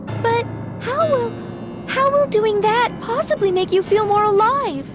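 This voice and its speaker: A woman's voice: very high voice, unhurried. A woman playing an earnest, selfless young girl in a video game cutscene, speaking in a sincere, pleading way. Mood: earnest, hesitant. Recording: music playing behind the voice.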